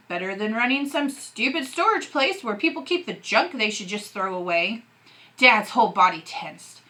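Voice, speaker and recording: Bright-voiced woman reading a novel aloud. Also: fairly high pitch. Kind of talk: expressive audiobook-style narration of a novel.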